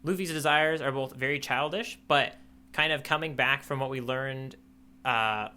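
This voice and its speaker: Male podcast host, bright mid-pitched voice, thoughtful, halting casual delivery. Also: clear, resonant tone. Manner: thoughtful, hesitant, many ums and restarts.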